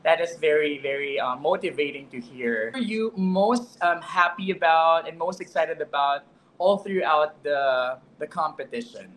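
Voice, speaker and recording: A young man hosts an interview over a video call. He speaks haltingly, full of fillers and restarts. His voice is somewhat high, with a faint hiss of noise behind him. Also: a bit bright, rough recording. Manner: halting, with many fillers and repeats. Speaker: host, interviewer. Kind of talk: online interview. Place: indoor room.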